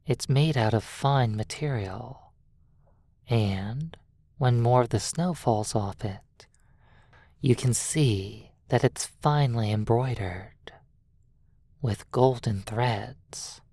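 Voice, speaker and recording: Very slow hypnotic storyteller. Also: medium pitch, mellow, dry recording, studio quality. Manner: measured, halting delivery in short phrases with long pauses. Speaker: hypnosis roleplay narrator. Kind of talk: slow second-person hypnosis story narration.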